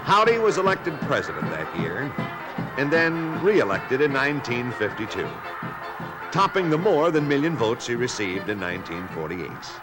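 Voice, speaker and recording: A man voicing an eager, excitable boy marionette on an old children's TV show, with music behind. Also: medium pitch, moderate pace, lightly acted, rough recording. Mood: reminiscing. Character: cheerful boy marionette, eager and wide-eyed. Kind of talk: 1950s children's TV puppet acting.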